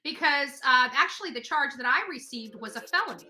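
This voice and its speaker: A woman's voice: sits high, animated, brisk, bright, forceful tone, soft background music, low-quality recording. A woman talking casually and bluntly about herself in an interview, repeating phrases for emphasis. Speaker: interview guest. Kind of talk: casual personal storytelling in an interview.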